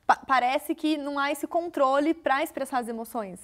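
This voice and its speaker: A woman's voice: high-pitched, normal speed. A Brazilian woman asking questions as an interviewer.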